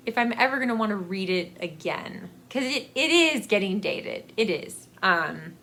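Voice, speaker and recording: Slow, bright-voiced American woman chatting. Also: mid-range voice. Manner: rambling, self-deprecating, confiding. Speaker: book vlogger. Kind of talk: casual chatty book vlog.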